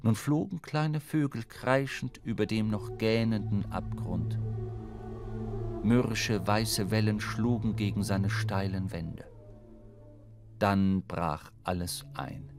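An actor playing a sombre sailor who narrates a shipwreck in a measured, dramatic literary radio play. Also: male voice, on the low side, steady tone, music in the background. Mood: grave, dramatic.

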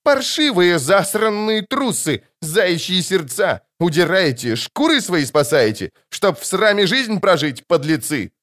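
A man voicing an excitable, frantic young bard in an audiobook, shrieking and declaiming breathlessly. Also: fairly quick, hardly any pauses, no echo, clean studio sound. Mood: indignant shouting. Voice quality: shrill, shrieking.

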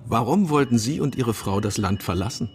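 An actor playing a fatherly senior police man in a German radio play, measured, probing and authoritative. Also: mid-range voice, animated, darkish tone, close to an everyday voice. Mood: calm, probing.

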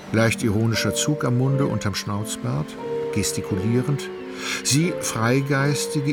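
An actor narrating a literary radio play as an ironic, all-knowing storyteller, measured and ornate in his delivery. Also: medium pitch, creaky. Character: wry, omniscient, ironic male storyteller. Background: music.

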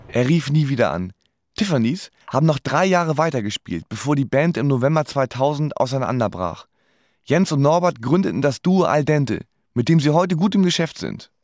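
A man's voice: medium pitch, animated, normal speed, darkish tone, no echo. A man narrating his own story in a wry, resigned, matter-of-fact way. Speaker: narrator of an autobiographical radio play. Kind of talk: scripted first-person narration. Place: dry studio.

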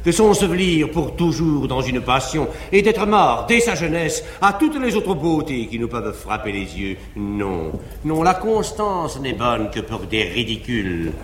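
An actor playing a cynical aristocratic seducer, speaking in elegant, measured declamation on a 1950s live stage. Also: male voice, medium pitch, very animated, slight background noise. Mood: disdainful. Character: cynical aristocratic male seducer, haughty and smooth. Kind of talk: classical stage acting. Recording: echoey 1950s live theatre recording, reverberant hall.

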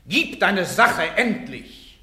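An actor playing a cleric who pleads with a condemned woman to submit, shifting from soft to insistent. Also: high voice, sing-song, slow, echoey room, heavily acted. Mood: pleading. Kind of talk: radio play acting.

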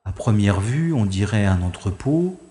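A man's voice: on the low side, animated, a little slow, warm tone. A man playing a wry, gallant adventurer in an audio drama, speaking formally and thinking aloud. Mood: relieved, musing. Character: wry, gallant male adventurer rescuing a young woman. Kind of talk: French-language audio drama acting with first-person narration. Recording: echoey long reverb, music behind.

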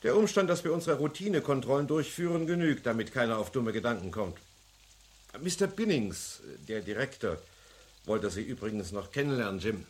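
An actor playing a genial but commanding veteran police chief in a German radio crime drama, measured and authoritative. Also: male voice, medium pitch, animated, natural voice. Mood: businesslike.

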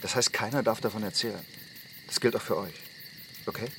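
Low-voiced, firm young commune leader played by a man. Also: background noise, natural voice. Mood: stern. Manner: deliberate, firm, commanding. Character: self-assured young male leader of secretive commune. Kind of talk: German radio drama, naturalistic acting.

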